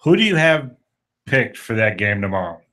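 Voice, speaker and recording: A man with a lowish voice hosts a relaxed sports talk show. He sounds upbeat, laughs often and circles back on his own words as he chats with callers and co-hosts. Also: normal speed. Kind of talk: casual sports radio chat.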